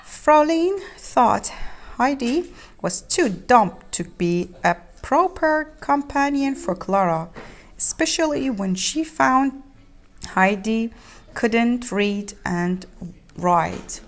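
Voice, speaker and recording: A woman reads a children's story aloud, haltingly, repeating and explaining words as she goes. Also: on the high side, lively intonation. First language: not English.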